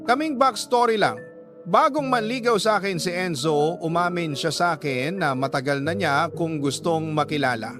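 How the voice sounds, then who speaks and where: A young Filipino woman tells her own love story for radio drama. She is conversational and confiding, reserved in character, with clear music under her voice. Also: low voice. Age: young adult. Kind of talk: first-person radio drama narration.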